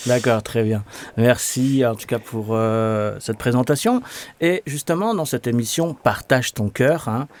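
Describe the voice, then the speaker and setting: A man's voice: mid-range voice, a little slow. A man hosting a faith interview show, asking questions in a friendly way with many fillers. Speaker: host.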